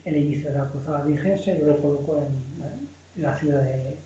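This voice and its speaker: A man's voice: fairly high pitch, steady tone, moderate pace, dark tone. A man from Spain who writes novels, talking loosely about history in a rambling way with many restarts. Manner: rambling, frequent restarts and repeated words. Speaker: novelist guest. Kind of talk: casual conversation on history. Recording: dull lo-fi sound in an echoey room.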